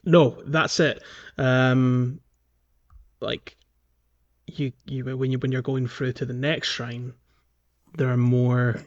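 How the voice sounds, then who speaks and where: A man's voice: medium pitch, little pitch movement, slow pace, pauses often. A man co-hosting a podcast, discussing a game casually in a hesitant, explanatory way with meandering phrasing. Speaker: podcast co-host. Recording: dull, band-limited voice recording.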